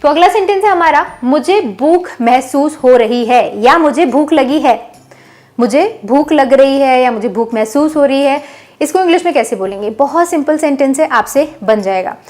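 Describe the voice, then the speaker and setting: A woman with a high voice teaches English phrases. She explains each one in a patient, steady way, then says the example sentence slowly and deliberately so learners can repeat it. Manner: slow, drawn-out model sentences for learners. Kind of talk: bilingual language lesson.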